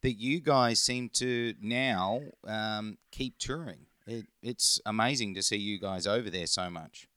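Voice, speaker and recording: A man's voice: lowish voice, measured pace, hardly any pauses, sharp tone, smooth, dry recording. A man from England and Norway hosting a podcast, asking friendly questions with fillers in casual talk. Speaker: podcast host. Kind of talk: casual interview.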